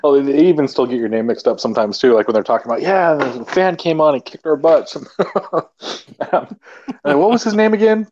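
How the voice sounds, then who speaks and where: A man's voice: fairly high pitch, animated, fairly quick, a little mellow, slight background noise. A man chatting as a guest on an online show, hesitant and searching for words with fillers. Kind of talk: casual online chat. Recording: dull lo-fi online call audio.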